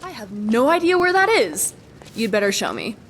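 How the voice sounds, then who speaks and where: A woman voicing a playful, evasive young female companion in a fantasy game, excitable and quick to sulk. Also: fairly high pitch. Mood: eager. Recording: noisy, long hall reverb.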